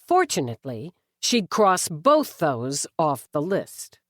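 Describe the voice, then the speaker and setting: A woman's voice: deep voice, huge pitch swings, slow pace, dry recording. A woman reading fiction as an audiobook narrator, with a wry, dry delivery.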